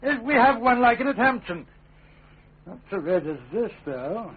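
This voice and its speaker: Radio drama king, young, English, self-righteous and volatile. Very husky voice, theatrical delivery, narrow-band broadcast sound. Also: very high-pitched, sing-song, moderate pace, heavily acted. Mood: bluff, jovial. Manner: theatrical, abrupt swings between bluster and hush. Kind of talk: classic British radio drama acting.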